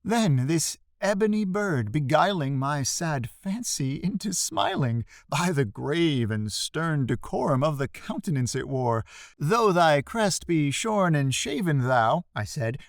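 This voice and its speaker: A man giving a dramatic, expressive recitation of a classic poem, drawing out emphatic phrases for effect. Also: on the high side, dry recording, studio quality. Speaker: poetry reader.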